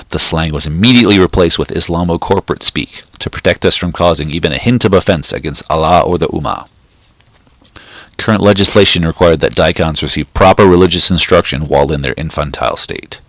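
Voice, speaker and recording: A man reading a short story aloud in a steady, measured way, with the odd small slip. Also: low voice, no room sound. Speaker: reader.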